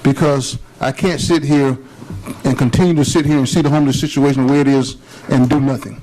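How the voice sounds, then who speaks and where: A man's voice: mid-range voice, steady tone, a little fast, dark tone, low-quality recording. A man serving as city commissioner, speaking earnestly and emphatically at a public meeting. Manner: earnest, emphatic, plain-spoken.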